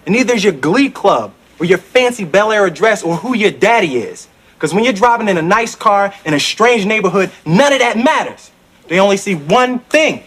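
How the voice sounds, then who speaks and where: A man's voice: sits high, big pitch swings, normal speed, a bit bright, slightly rough, exaggerated voice. An actor playing a streetwise young man scolding his friend in a sitcom scene. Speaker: sitcom character.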